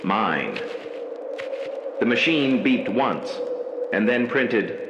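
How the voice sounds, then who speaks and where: A man playing a haunted night-shift hospital worker, narrating a scripted horror story in slow, measured, ominous phrases. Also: lowish voice, big pitch swings, echoey room, dull audio, heavy background noise, full character voice. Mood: grave, uneasy.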